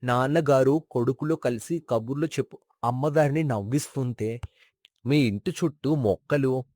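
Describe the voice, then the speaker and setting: A man's voice: medium pitch, darkish tone, no room sound, studio quality, lightly acted. Man acting an earnest, sentimental old family friend. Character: late father's sincere, sentimental colleague and neighbour. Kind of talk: dramatized moral story acting.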